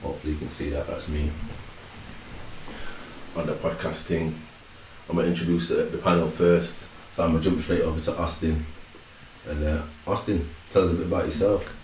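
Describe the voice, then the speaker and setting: A man hosting a casual podcast panel, jokey and loud in bursts. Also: a bass voice, level tone, fairly quick, echoey room, distant mic, slight background noise, rough recording. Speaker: podcast host.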